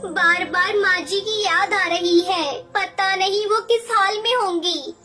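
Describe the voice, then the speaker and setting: A woman acts out a devoted young female bird in a cartoon. The voice is extremely high, earnest and pleading. Loud music plays behind the tender-hearted character. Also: slow. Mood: worried, protesting.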